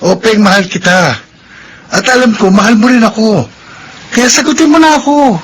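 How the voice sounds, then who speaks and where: High-voiced, lovestruck tricycle driver played by an actor. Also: male voice, moderate pace, slight background noise, lightly acted, low-quality recording. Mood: earnest, tender. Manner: earnest, wooing. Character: lovestruck, patient male tricycle driver courting a maid. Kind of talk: Filipino radio drama acting.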